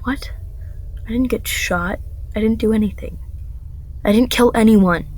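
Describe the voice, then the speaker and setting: A woman's voice: a little high, a little slow, darkish tone. A woman playing a defiant, haunted young woman on the run, telling her story earnestly in a radio drama. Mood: confused, defensive. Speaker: lead actor and narrator in an amateur radio drama. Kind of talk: first-person dramatic narration and radio drama acting. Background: music.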